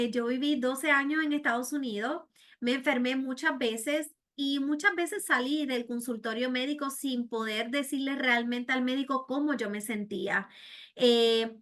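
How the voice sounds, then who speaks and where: Puerto rican lady giving a webinar talk, high bright voice, lots of eh and verdad. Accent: Puerto Rican Spanish. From Puerto Rico. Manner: earnest, with frequent "eh" fillers and "¿verdad?" tags. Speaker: program director presenting a webinar. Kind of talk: presenting to healthcare providers.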